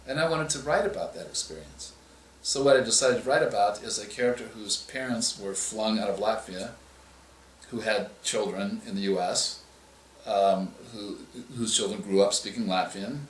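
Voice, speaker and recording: A male author answering interview questions, explaining in a measured way and often restarting his phrases. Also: on the low side, a little hiss or hum. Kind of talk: interview answers on writing craft. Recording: echoey room with faint ambience.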